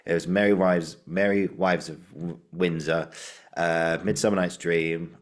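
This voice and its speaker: Guy podcast host, really low voice, casual and eager, telling stories. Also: steady tone, measured pace. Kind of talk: casual anecdotal chat.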